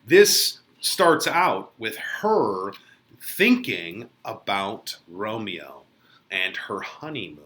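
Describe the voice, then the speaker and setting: Bright-voiced man teaching drama, slow, theatrical. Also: on the low side, sing-song. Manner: theatrical, colloquial. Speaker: English teacher. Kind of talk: lesson commentary, casual retelling of a play.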